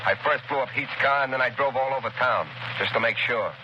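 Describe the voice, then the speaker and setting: A man's voice: mid-range voice, fairly quick, natural voice. An actor playing a tough, curt gangster henchman in 1930s radio style, brusque and commanding. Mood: gruff, ordering. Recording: muffled, very noisy old 1930s radio broadcast.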